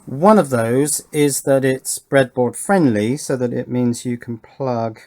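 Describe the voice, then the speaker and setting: A middle-aged man giving an unscripted hands-on demonstration, thinking aloud in short, halting phrases as he works. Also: medium pitch, a little mellow. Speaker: electronics hobbyist and presenter. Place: indoor electronics workbench.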